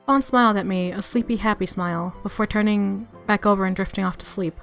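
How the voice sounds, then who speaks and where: A woman reading visual novel narration aloud in an expressive, easily flustered way, as a let's play streamer. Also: mid-range voice, fairly quick, gravelly. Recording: music playing behind the voice. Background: game music.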